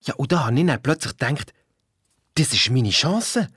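An actor playing an excitable young boy and amateur detective with a Swiss German accent, telling his story colloquially in a children's radio play. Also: medium pitch, normal speed, breathy edge, no echo, clean studio sound. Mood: excited, secretive. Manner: colloquial, eager, defensive when challenged. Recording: music behind the voice.

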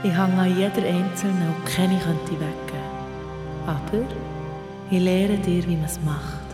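A woman playing a strange old mask maker in an audio drama, mysterious and deliberate, with reverb on her voice. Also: on the low side, steady tone. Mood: mysterious, beckoning. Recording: long echoing reverb over music. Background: music.

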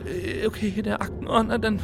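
An actor playing a hapless, chatty, well-meaning friend in a German radio crime drama, rambling and flustered. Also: male voice, sits high, flat delivery, fairly quick, creaky.

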